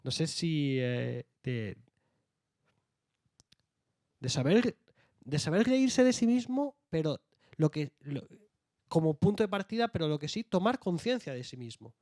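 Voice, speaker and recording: A man's voice: on the high side, big pitch swings, measured pace, long pauses, a little mellow, no room sound, clean studio sound. A young man from Jaén with an Andalusian accent, speaking as an author on a panel, hesitant with many fillers and restarts. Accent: Andalusian Spanish. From Jaén, Spain. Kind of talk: round-table discussion.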